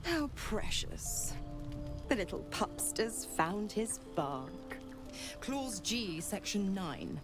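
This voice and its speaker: A cruel she-devil from a video game. She speaks slowly, with a swooping, silky melody, talking down to everyone as she gloats and taunts. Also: mid-range voice, big pitch swings, over music. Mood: gloating. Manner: taunting, drawn-out, condescending.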